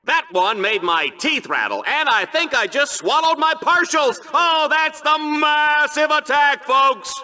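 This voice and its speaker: An actor playing a smarmy, bombastic sci-fi bloodsport announcer in a video game, hammy and excitable in his play-by-play. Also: very high-pitched, a little slow, hardly any pauses, heavily acted. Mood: hyped. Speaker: play-by-play commentator. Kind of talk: over-the-top sports commentary, video game acting.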